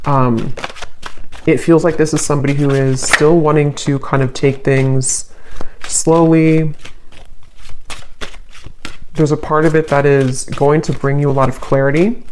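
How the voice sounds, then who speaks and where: A woman reads tarot in a casual, intuitive style. Her pitch stays very level and flat. She thinks aloud as she goes, halting and hedging as she interprets. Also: slight background noise, poor audio. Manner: halting, musing, hedging delivery. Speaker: tarot reader, channel host.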